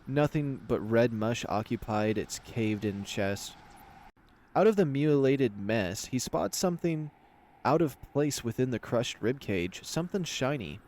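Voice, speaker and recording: A man's voice: medium pitch, lively intonation, a little slow, darkish tone. A man narrating a visual novel aloud as a let's player, switching between story reading and casual commentary. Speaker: video game let's player.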